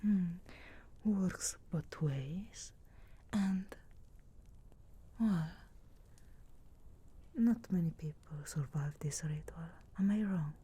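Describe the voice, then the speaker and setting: A woman playing a sharp-tongued, sarcastic, reluctantly caring witch in a soft whispered ASMR fantasy roleplay, with hushed musing hums and deliberate pauses. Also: on the low side, breathy edge, exaggerated voice. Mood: knowing, cool.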